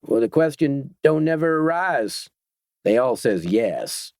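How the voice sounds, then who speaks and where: A man's voice: medium pitch, sing-song, slow, a little mellow, no room sound, studio quality, voice pushed up. A man reading a story, voicing a menacing biker gang leader who talks in a soothing, mock-polite, folksy way with ungrammatical speech.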